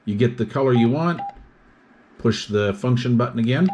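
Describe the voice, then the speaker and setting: Male product reviewer, mid-pitched, methodical explainer walking through features in short, itemized phrases. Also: moderate pace, background noise. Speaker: product reviewer demonstrating radio gear.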